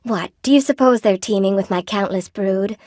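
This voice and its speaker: Video game spider weaver woman, played by an actress: mid-pitched, coy, folksy and wry, friendly chatter, dry close studio sound. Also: normal speed, darkish tone, no room sound, lightly acted. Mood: playful, confiding. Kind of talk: video game character dialogue. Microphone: close dry studio microphone.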